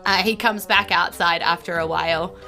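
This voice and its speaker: New woman, game master, bright voice, chatty, giggly, improvised narration, music behind. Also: lowish voice, fairly even intonation, normal speed. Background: faint background music.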